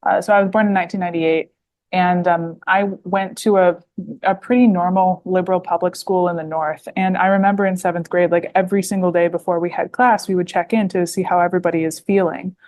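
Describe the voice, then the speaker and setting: A woman's voice: fairly low pitch, fairly even intonation, fairly quick, darkish tone. A woman, talking as a podcast guest, full of fillers and restarts. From United States. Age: 20s. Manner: rambling, heavy with fillers and restarts. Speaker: guest author. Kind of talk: podcast interview.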